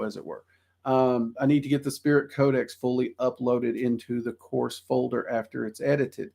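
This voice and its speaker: A man's voice: mid-range voice, gravelly, darkish tone. A man with a Southern US accent, hosting a casual livestream and answering viewer questions in a rambling, self-deprecating way with lots of ums. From Southern United States.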